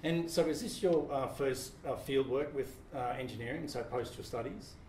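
A middle-aged man from Australia hosting an interview, relaxed and informal, with many fillers and the odd "mate". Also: mid-range voice, normal speed. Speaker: interview host. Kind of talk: casual interview. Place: office meeting room with large windows. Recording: roomy office with faint noise.

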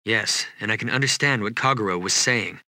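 An actor playing a calm, thoughtful young monk in an anime game, measured and composed. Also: male voice, lowish voice, no room sound, studio quality.

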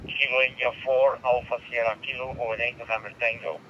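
A man's voice: medium pitch, level tone, brisk, a little hiss or hum. A Belgian man with a Flemish accent, Dutch his first language, chatting over a ham radio link. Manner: chatty, laughs between phrases. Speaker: radio operator. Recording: dull radio transmission.

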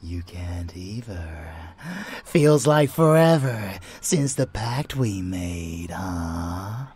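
A man acting as an unhinged, seductive male vampire lover in an intimate ASMR audio roleplay, breathy and often whispering, with teasing, menacing affection. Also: low voice, animated, very slow, a little mellow, full character voice. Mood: tender, anticipating.

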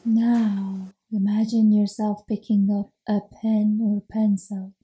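A woman leading an ASMR guided meditation in a soothing, unhurried, mostly whispered delivery. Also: medium pitch, flat delivery, warm tone, slightly rough, rough recording.

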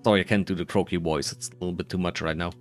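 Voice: deep voice, fairly even intonation, very fast, soft background music. A gaming creator giving casual playthrough commentary, rambling and muttering while thinking aloud.

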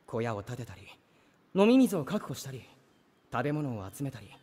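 An actor playing an excitable, doting young man in a theatrical Japanese anime-style audio drama. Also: medium pitch, normal speed, slightly rough, big-room sound. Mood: relieved, reassuring. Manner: theatrical, stammering when flustered. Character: excitable, melodramatic young man, doting older-brother type.

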